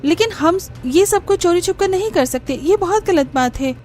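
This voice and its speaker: A woman's voice: very high voice, animated, normal speed, a little mellow, slight background noise, pitched above the performer's own voice. A woman voicing an honest, exasperated young daughter-in-law in a cartoon story, speaking in an earnest, pleading way. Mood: upset protest. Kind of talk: cartoon story character dialogue.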